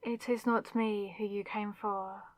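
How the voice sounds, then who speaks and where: Woman acting a possessive demon spirit villainess, wounded n lovesick, slow intense delivery, really close dry audio roleplay. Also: medium pitch, little pitch movement, no room sound, close to an everyday voice. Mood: conflicted. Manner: slow, deliberate, intense, with dramatic pauses. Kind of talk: dramatic ASMR audio roleplay.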